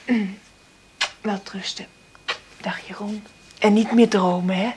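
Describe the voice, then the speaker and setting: An actress in a Dutch radio play playing a weary, struggling mother, husky and intimate. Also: medium pitch, steady tone, takes it slowly, slight background noise, close to an everyday voice. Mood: soothing. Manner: intimate murmured monologue, gentle with her child. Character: weary, struggling mother confiding in her diary.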